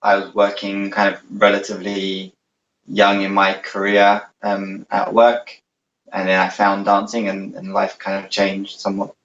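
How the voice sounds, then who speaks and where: A man's voice: sits low, completely flat delivery, measured pace, fairly bright tone, a little hiss or hum. A man from London with a London accent, chatting casually as an interview guest. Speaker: interview guest, dance instructor. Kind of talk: casual chat. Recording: roomy, distant, dull lo-fi audio.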